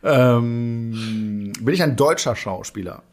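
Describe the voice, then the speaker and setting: A man explaining the rules of a guessing game, then playing it in relaxed, joking chat, laughing often. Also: mid-range voice, slow, faint music behind.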